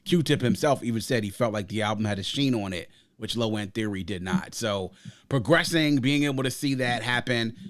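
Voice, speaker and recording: A man's voice: medium pitch, normal speed, no room sound. A man in mid range chats eagerly about music on a podcast, piling up asides and fillers as he goes. His voice is close and dry, with no room sound behind it. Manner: enthusiastic, rambling with fillers. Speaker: podcast co-host. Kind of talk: casual album review chat.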